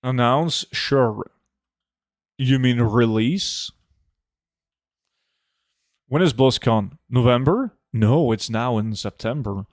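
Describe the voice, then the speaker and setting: A young man streaming a game, chatting casually and answering viewer questions in a relaxed, offhand way. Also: medium pitch, slow, long pauses, dry recording, clean studio sound. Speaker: gaming streamer reading chat. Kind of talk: casual live gameplay chat.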